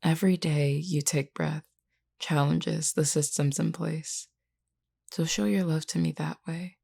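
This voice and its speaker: A woman playing a flirty, frank, self-assured werewolf in an intimate, unhurried audio roleplay spoken to the listener. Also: heavily acted. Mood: tender, firm. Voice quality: low, creaky, mellow. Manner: unhurried, teasing yet earnest, deliberate.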